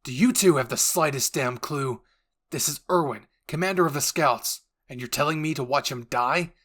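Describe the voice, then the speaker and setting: A man's voice: mid-range voice, moderate pace, a bit bright, natural voice. A stoic male army captain from an anime, as acted by a man. He is cold and firm, giving curt orders with a commanding edge. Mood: angry, commanding. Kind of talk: anime roleplay voice acting.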